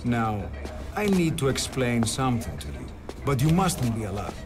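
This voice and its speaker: A middle-aged doctor in a cinematic video game. He speaks gravely and carefully at a medium pitch, choosing his words to soothe. Music runs clearly behind his voice. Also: wildly swooping pitch. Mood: grave, gentle. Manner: measured, soothing, halting explanations.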